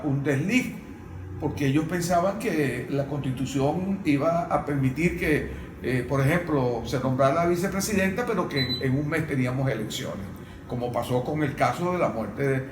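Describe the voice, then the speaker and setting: A Venezuelan man speaking as a panelist in an unscripted political discussion, explaining in a halting way. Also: mid-range voice, noisy background. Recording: roomy, distant microphone.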